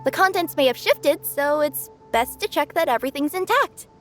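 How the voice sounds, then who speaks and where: A woman's voice: high-pitched, expressive, normal speed, fairly bright tone, soft background music. A woman voicing a cheerful young female cat-spirit courier who speaks politely and stammers when nervous. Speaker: delivery courier. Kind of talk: video game voice acting.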